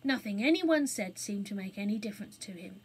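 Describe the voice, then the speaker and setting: A woman reading a children's story aloud as an expressive storybook narrator. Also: mid-range voice, fairly quick, slightly rough, rough recording.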